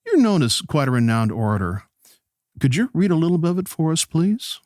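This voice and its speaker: An actor playing a polite radio host who gently probes his political guests in a conversational interview style. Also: fairly high pitch, sing-song, brisk, no room sound, clean studio sound. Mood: gently skeptical. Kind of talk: pseudo-radio play interview.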